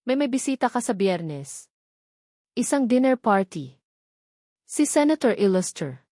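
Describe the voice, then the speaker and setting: A woman voicing a calm, reassuring billionaire man in a read-aloud love story, his lines steady and mixing English into Tagalog. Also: a little high, measured pace, no room sound, studio quality.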